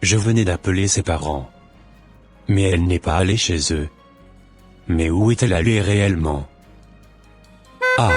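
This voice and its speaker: A man playing a suspicious, impatient husband in an animated drama, speaking in a deliberate, formal way with a husky voice. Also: deep voice. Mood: relieved. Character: suspicious, impatient husband waiting for his unfaithful wife.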